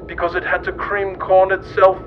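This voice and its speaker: Male narrator, higher-pitched, for absurdist scripted mock history; noisy, rumbly recording. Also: level tone, moderate pace. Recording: muffled lo-fi audio with loud rumbling noise.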